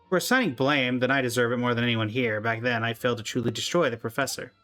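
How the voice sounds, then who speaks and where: A man reading aloud the lines of an ancient, gentle, regretful woman guardian in a video game, in a calm, measured way. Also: mid-range voice, soft background music, lightly acted. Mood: solemn.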